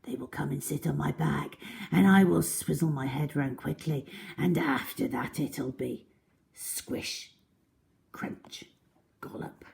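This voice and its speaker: A woman's voice: sits low, steady tone, slow pace, creaky, noisy background, pitched below the performer's own voice, heavily acted. A woman voicing a greedy, scheming male crocodile in a children's storybook, muttering menacingly to himself. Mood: sinister whispering. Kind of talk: children's storybook read-aloud.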